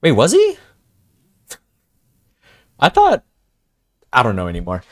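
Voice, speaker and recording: Casting: young male game streamer, mid pitch with big swings, excitable, slangy, off-the-cuff reaction commentary. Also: slow pace. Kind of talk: casual live gameplay reaction.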